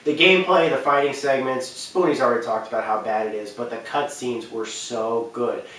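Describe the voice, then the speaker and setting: A man's voice: medium pitch, fairly even intonation, normal speed, a bit bright. A man hosting a video game countdown with casual, unscripted commentary, stressing and repeating words for emphasis. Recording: very roomy echo, distant from mic.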